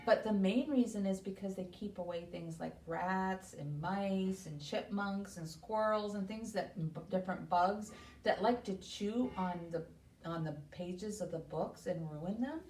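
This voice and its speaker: A woman's voice: mid-range voice, unhurried, a little mellow. A woman working as a children's librarian, reading and explaining to small children with simple questions at story time. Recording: echoey room, distant mic, faint noise.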